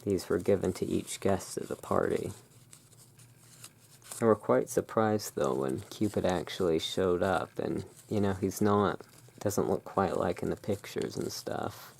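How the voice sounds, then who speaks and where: A person speaking in a halting, musing way, explaining things as the owner of a gothic curiosity shop in an ASMR roleplay. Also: low voice, gravelly, a little mellow, slightly rough. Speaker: roleplaying host. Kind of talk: ASMR roleplay, showing tarot cards.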